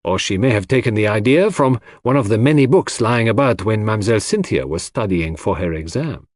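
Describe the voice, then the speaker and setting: A man's voice: lowish voice, expressive, brisk, no room sound, clean studio sound. A man voicing a shrewd, self-assured foreign detective who explains in a theatrical, didactic way in an audiobook. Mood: amused, certain. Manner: theatrical, didactic, sprinkles French phrases.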